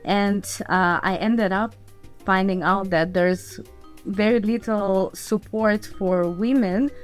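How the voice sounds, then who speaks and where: A woman's voice: medium pitch, fairly even intonation, takes it slowly, soft background music. A woman from Belarus and Ukraine, a podcast guest telling her story casually in an interview. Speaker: podcast guest, fitness entrepreneur.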